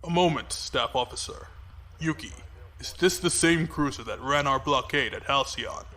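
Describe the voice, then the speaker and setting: Forceful, commanding male fleet commodore, played by an actor. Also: on the high side. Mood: cold, assured. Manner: measured, authoritative, formal. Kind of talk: science fiction audio drama acting.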